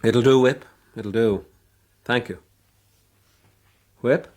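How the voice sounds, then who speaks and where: An honourable young nobleman played by a man in a period radio drama. His English accent is upper-class and his voice sits at a middling pitch, with an earnest, well-bred manner. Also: fairly even intonation, takes it slowly, darkish tone, dry recording, natural voice. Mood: kindly authority.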